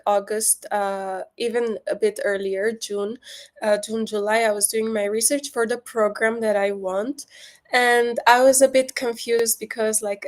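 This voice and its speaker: A young woman from Lebanon speaking as a guest on a video-call webinar, chatting casually with many fillers and restarts. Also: a little high. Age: young adult. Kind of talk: webinar interview.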